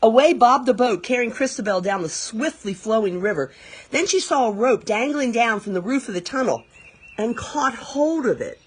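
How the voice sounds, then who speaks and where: A woman reading a children's picture book aloud expressively, pausing to explain things to young listeners. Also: a little high, expressive, breathy edge. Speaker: read-aloud storyteller.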